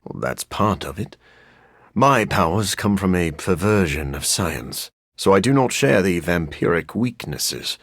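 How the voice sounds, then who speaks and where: Dour vampire scientist, very low husky voice, swooping intonation, formal wording, deadpan wit, video game character. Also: male voice, no room sound, heavily acted. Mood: grave, proud. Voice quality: gravelly, husky. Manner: formal, measured wording with dry deadpan humour. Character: brooding living vampire scientist, dour yet dryly witty.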